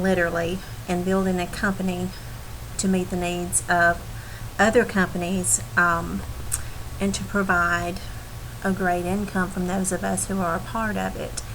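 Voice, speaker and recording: A woman talks straight to her team in a live update, her voice still a bit raspy from a lingering cold. She speaks in an earnest, reassuring way, stopping for ums and restarting her sentences as she goes. A faint hiss sits under the recording. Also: medium pitch, fairly bright tone, poor audio. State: recovering from a cold, slightly raspy. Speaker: team leader giving an update.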